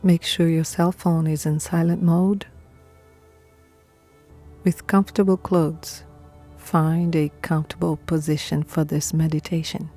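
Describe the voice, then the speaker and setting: A woman leads a guided meditation in a low, mellow voice. She is calm and soothing, speaking very slowly in short phrases with pauses between them. Soft music plays behind her. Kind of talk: guided meditation, scripted narration.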